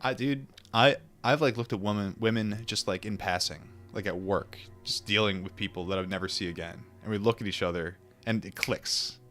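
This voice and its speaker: Male streamer, lower register, informal and musing, shifting between book reading and reflective chat, light music bed. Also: a bit bright. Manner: musing, informal, with pauses and repetitions. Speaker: streamer reading a book aloud and chatting with viewers. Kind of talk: book read-aloud mixed with casual reflective chat.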